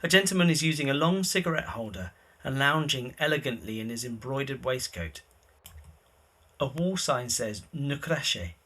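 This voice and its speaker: Bright, husky male narrator. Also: mid-range voice, lively intonation, moderate pace. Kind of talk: scripted scene narration.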